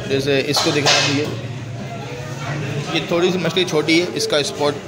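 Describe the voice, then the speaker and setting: A man's voice: fairly high pitch, fairly even intonation, normal speed, rough recording. A man with a Pakistani Urdu accent, filming a fish market on a handheld phone, explaining each fish and calling out to sellers for prices. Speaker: market vlogger. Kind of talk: walk-through market vlog. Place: busy indoor fish market. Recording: loud crowd noise behind. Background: loud market crowd.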